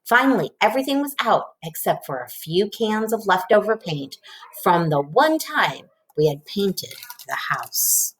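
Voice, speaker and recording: Mujer narradora de cuentos, muy expresiva. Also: female voice, mid-range voice, big pitch swings, a little slow, low-quality recording. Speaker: storybook reader. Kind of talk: expressive children's read-aloud narration.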